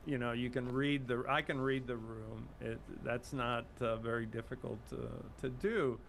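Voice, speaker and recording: A man's voice: mid-range voice, fairly even intonation, moderate pace, vocal fry, slight background noise. An older man from Cuba, a guest in an interview, speaking modestly with many fillers and restarts. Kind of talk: casual interview talk.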